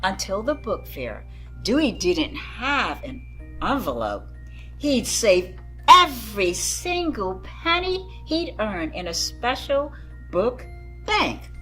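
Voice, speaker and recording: A woman reads a picture book to young children. Her voice is bright and swings widely in pitch. She takes her time, leaning on words for dramatic emphasis. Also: takes it slowly, soft background music. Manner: animated, dramatic emphasis, addresses young listeners. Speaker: children's story-time reader. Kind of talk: expressive picture-book read-aloud.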